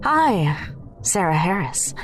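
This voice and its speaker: A woman's voice: fairly low pitch, very animated, slow pace, faint music behind. A woman acting in an audio drama as a breezy, self-assured hacker with a dry, cheeky manner. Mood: smug, breezy.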